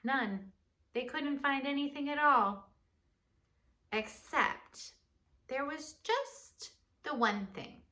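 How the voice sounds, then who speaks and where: A woman reads a children's story aloud with a bright, forward voice. Her pace is slow and expressive, with dramatic pauses and conversational asides to the listeners. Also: sits high.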